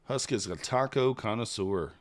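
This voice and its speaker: A man's voice: lowish voice, moderate pace. Man, livestream trader, casual rambling chat, reads chat aloud.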